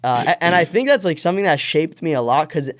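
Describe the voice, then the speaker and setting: A man in his twenties with an American accent, a startup founder speaking as a guest in a studio interview. He talks eagerly, with lots of "like" and quick restarts. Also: a little high, expressive. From born in Israel, raised in Miami area. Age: 20s. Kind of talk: casual interview. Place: podcast studio.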